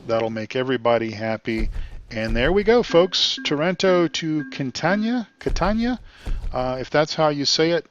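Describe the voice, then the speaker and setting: A man hosting his gaming channel, chatting casually while he plays, rambling with many ums and uhs, his voice getting hoarse. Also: a little high, expressive, normal speed, lo-fi sound. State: losing his voice. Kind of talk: casual commentary while playing. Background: truck engine and road sounds.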